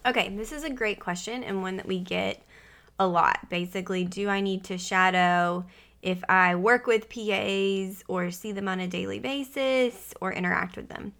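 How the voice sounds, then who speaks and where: A woman's voice: medium pitch, normal speed, gravelly, a bit bright. A woman hosting a podcast, talking in a casual, upbeat and chatty conversational way. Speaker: podcast host and pre-PA advisor.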